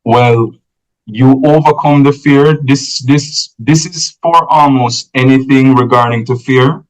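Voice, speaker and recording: A man's voice: medium pitch, low-quality recording. Man, guest on a podcast; takes his time, mid-range voice, explains patiently and keeps checking in with the listener. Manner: measured and explanatory, often ends points with "right?". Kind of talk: conversational advice-giving.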